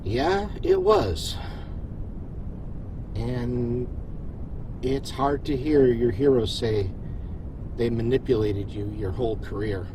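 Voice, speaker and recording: A man's voice: medium pitch, takes it slowly, pauses often, noisy background, natural voice. A man playing an earnest, reflective starship captain in a science fiction audio drama. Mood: reflective, sincere.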